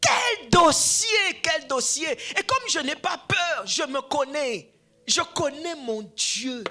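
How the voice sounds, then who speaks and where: A woman whose first language is Swahili, preaching a sermon, dropping to hushed whispers and then rising to shouts, repeating phrases for emphasis. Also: high-pitched, lively intonation, slow, soft background music. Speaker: preacher, addressed as apostle.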